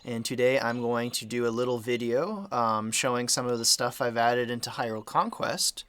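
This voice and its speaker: Bright, clear-voiced man with a sore throat. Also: mid-range voice, normal speed, no echo. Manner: chatty, rambling, easygoing. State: sore throat, slightly dry voice. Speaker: game modder and channel host. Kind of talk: casual development update talk.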